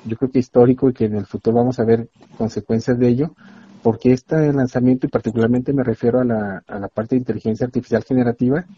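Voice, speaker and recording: Male academic guest, husky and warm-toned, earnest explainer, dull dry lo-fi recording. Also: mid-range voice, fairly even intonation, quick pace, no echo. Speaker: university expert guest. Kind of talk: explanatory interview talk.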